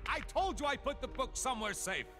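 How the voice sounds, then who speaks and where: A man's voice: very high-pitched, animated, a little fast, music in the background. An actor playing an earnest, forgetful male sorcerer in a video game cutscene, with theatrical, urgent spellcasting delivery.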